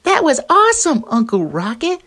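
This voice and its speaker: Woman voicing an eager, high-pitched young girl rabbit. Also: huge pitch swings, moderate pace, fairly bright tone, exaggerated voice. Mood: excited, bold. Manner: chirpy, pleading, exclamatory. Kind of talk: amateur cartoon voice acting.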